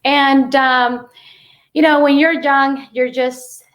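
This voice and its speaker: High-pitched woman with a Mexican accent. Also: fairly even intonation, a bit bright, rough recording. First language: Spanish. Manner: halting, peppered with "you know". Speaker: interview guest, businesswoman. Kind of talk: casual personal storytelling.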